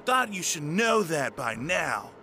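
A man's voice: fairly high pitch, big pitch swings, slow pace, bright, forceful tone, slight background noise, exaggerated voice. A man playing a condescending office boss with breezy, snide banter. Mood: smug, breezy. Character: smug, condescending male office boss, father of a girl.

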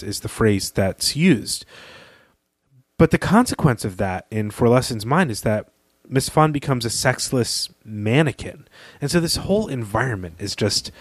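A man's voice: mid-range voice, dry recording. A man co-hosting a podcast, talking through literature in an articulate, analytical and emphatic conversational way. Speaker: podcast co-host.

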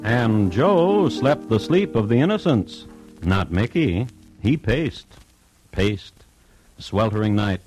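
A dry, literary storyteller narrates an old radio crime drama. His voice is fairly low but expressive, in deliberate short phrases, with faint music behind. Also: low-quality recording. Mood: wry, measured. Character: wry, literary male true-crime storyteller. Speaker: narrator and host. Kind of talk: 1950s radio scripted narration.

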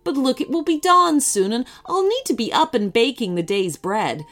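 A woman playing a kindly, motherly baker who gently cautions, in a children's storybook reading. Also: on the high side, expressive, normal speed, voice pushed up. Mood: rueful, consoling.

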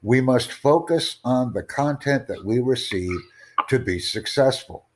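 A male tutor hosts a teaching podcast, answering listeners' questions. He speaks slowly and formally in a measured, mid-range voice, often putting rhetorical questions to his audience. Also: mid-range voice, lively intonation. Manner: slow, formal, deliberate, asks rhetorical questions. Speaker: IELTS tutor and podcast host.

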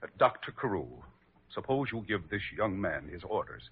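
A man's voice: on the low side, big pitch swings, normal speed, breathy edge, exaggerated voice. A man playing an impatient, indignant father who demands his way in an old-time radio drama. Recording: muffled vintage radio broadcast.

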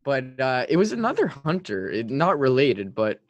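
A man's voice: medium pitch, huge pitch swings, normal speed, no room sound, lo-fi sound. A French-speaking man co-hosting a casual sports podcast, talking in an emphatic, hyperbolic way. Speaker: hockey podcast co-host.

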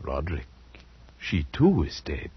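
Very deep, dread-filled horror narrator. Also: male voice, wildly swooping pitch, slightly rough, exaggerated voice. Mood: grave resignation. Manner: solemn, measured, ominous dramatic delivery. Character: grave, dread-filled male narrator, loyal friend of the madman. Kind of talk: 1940s radio horror narration and acting. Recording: muffled 1940s radio broadcast with music.

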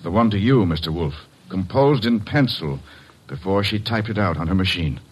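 An actor playing a sombre, shaken husband under suspicion, in vintage American radio drama style. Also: male voice, deep voice, lively intonation, moderate pace, slightly rough. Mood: shaken, disbelieving. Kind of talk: 1940s-50s American radio detective acting.

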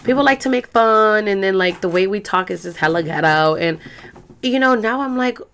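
A woman's voice: medium pitch, normal speed, a bit bright, slight background noise. A young adult woman with a Salvadoran accent, hosting a podcast in a chatty, slangy, rambling way with lots of fillers. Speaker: podcast host. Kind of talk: casual chat.

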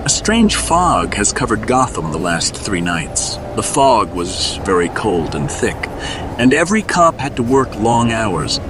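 A man's voice: fairly low pitch, very animated, unhurried, music in the background. A man narrating a scripted superhero story in a suspenseful, dramatic storybook style.

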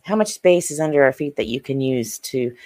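A woman's voice: a bass voice, lively intonation, a little fast, dark tone, no room sound, clean studio sound. A woman guest on a podcast who speaks earnestly and insistently in casual conversation.

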